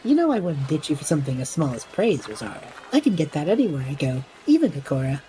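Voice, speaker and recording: Woman acting cocky young student guy, slightly low, animated, slangy, sarcastic, fantasy audio drama. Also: fast, slight background noise, rough recording. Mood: casual, flippant. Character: cocky, flippant young male student adventurer, a magic user.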